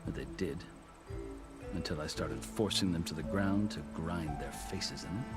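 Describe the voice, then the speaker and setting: Seasoned warrior in a video game, low voice, earnest and eloquent storyteller, music playing behind him. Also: male voice. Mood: proud, wry. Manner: measured, formal, eloquent storytelling. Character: seasoned, proud male warrior turned lawgiver, earnest storyteller. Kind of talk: scripted video game cutscene dialogue.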